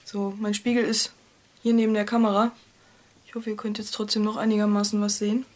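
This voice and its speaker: A woman's voice: medium pitch, flat delivery, fairly quick, a little mellow. A young woman with a cold, chatting casually and self-deprecatingly while doing her make-up in a small bedroom, recorded on a webcam. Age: young adult. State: has a cold. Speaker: vlogger. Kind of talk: casual make-up vlog chat. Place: small cluttered bedroom, indoors. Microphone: webcam at desk.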